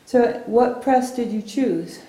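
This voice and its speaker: Mellow woman interviewer in roomy sound. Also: on the high side, slow. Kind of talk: short conversational interview questions. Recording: roomy echo.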